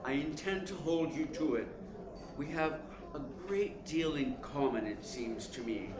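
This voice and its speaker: A man's voice: on the high side, measured pace, natural voice. A man playing a suave, cynical theater critic with a dry, pointed delivery in a radio play. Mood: dry, menacing. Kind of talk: stage-style radio play acting and narration. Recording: echoey room, clear background noise.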